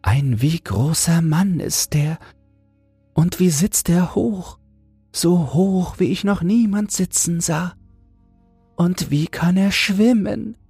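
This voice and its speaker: A little female toad from a storybook, acted out by a man speaks far up in a high, husky voice. She is naive and dreamy, exclaiming everything with wonder and longing. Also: takes it slowly, mellow, dry recording, soft background music, heavily acted. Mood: awed. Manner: exclamatory, full of wonder and yearning. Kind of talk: storybook character voice.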